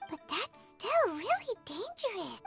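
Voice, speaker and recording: A woman voicing a small, naive, curious fairy companion in an anime game, with a squeaky childlike delivery. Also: big pitch swings, measured pace, gravelly, faint music behind, full character voice. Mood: curious, puzzled. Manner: speaks of herself in third person, squeaky.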